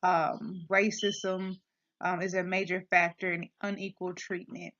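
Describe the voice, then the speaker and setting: A woman's voice: on the low side, level tone, a little slow, a bit bright. A woman giving a class presentation, hesitant, with many filler sounds and restarts. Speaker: student presenter. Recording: dull lo-fi recording.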